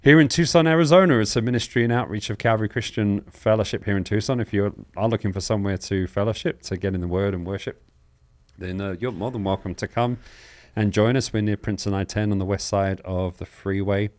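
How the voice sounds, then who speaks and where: This is a man with a fairly deep voice hosting a live call-in style program. He talks informally and welcomingly, moving through announcements and explanations in a chatty broadcast manner. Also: fast. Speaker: host of a live Bible question show.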